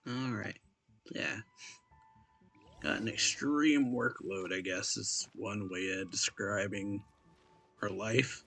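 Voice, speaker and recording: A man doing casual, low-key game commentary and reading on-screen text aloud, often correcting himself as he goes. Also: takes it slowly, gravelly, long pauses, a bit bright. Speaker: game streamer reacting to story quest. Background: faint game music.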